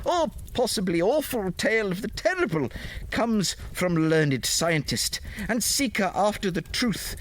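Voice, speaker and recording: A man's voice: high-pitched, very animated, measured pace, exaggerated voice. A man playing a theatrical, doddery old storyteller of mock-gothic tall tales, hammy and dramatic. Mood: grandly solemn.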